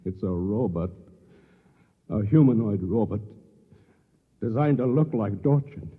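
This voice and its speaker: A man's voice: on the low side, lively intonation, measured pace, breathy edge. An actor playing an ordinary man, urgent and determined, with intense, emphatic delivery in a 1950s radio drama. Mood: tense. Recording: tinny, reverberant old radio recording.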